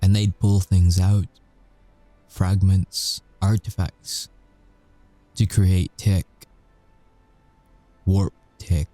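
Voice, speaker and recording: A man acts out a brooding, flirtatious werewolf ex-agent for an intimate audio roleplay. His voice is deep and dark, recorded close and dry. He confesses wearily, leaving deliberate pauses between halting phrases. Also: little pitch movement, no room sound, faint music behind. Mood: grave, confessional.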